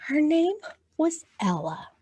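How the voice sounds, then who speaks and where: A woman's voice: high voice, very animated, takes it slowly, mellow, no echo. A woman hosting a storytime for young children, talking encouragingly and reading aloud to her little audience. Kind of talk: children's storytime with songs and picture-book reading.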